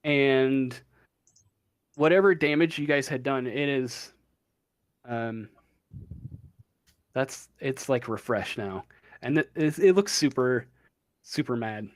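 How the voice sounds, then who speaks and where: Halting, slow-talking game master man. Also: medium pitch, dry recording, studio quality. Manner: halting, many ums and uhs. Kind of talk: narrating a tabletop game. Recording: online voice chat.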